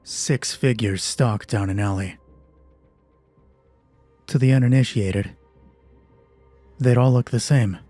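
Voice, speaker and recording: A man narrating a scripted, dramatic horror story as host of a solo roleplay, with measured, deliberate phrasing. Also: medium pitch, mellow, soft background music.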